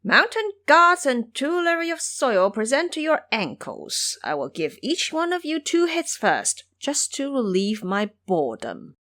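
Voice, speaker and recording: A woman plays a proud male monkey trickster from an audio-drama legend. He is aggrieved and hot-tempered, declaiming in a high, highly animated voice. The sound is close and dry. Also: measured pace, few pauses, no room sound, exaggerated voice. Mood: imperious, taunting. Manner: declamatory, theatrical.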